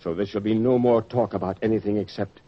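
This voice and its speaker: An actor playing a stern, commanding disciple in a biblical radio drama, speaking with declamatory authority. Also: male voice, fairly low pitch, steady tone, fast talker, lightly acted. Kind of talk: old-time religious radio drama acting. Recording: dull old radio recording.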